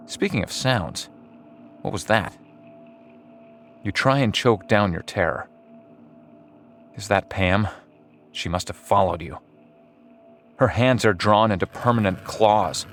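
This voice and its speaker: A man's voice: lowish voice, fairly bright tone. A man reading scripted second-person horror-comedy narration in a wry, dramatic storytelling manner. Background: music under the voice.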